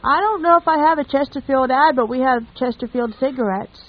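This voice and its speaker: A woman's voice: sits high, fairly quick, noisy background. A woman reminiscing casually in a chatty, homely storytelling way. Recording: tinny narrow phone-like radio line.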